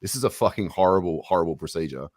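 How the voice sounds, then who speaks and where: Deep-voiced blunt male guest, dry close sound. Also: animated, quick pace, darkish tone, no echo. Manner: blunt, swears freely. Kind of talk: casual political chat.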